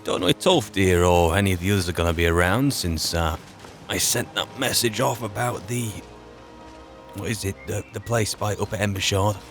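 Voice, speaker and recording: A man roleplaying a musing, wry adventurer in a fantasy game, speaking in a halting, dry, reflective way. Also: low-pitched, fairly bright tone, faint music behind. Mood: musing, planning. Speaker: player narrating a roleplay. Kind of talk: in-character game roleplay monologue.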